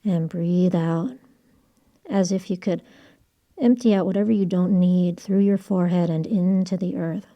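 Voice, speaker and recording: A woman leading a guided yoga and relaxation session as the instructor, speaking in a gentle, calm, soothing way with breathy cues. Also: fairly low pitch, unhurried, dull audio. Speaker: yoga instructor and channel host.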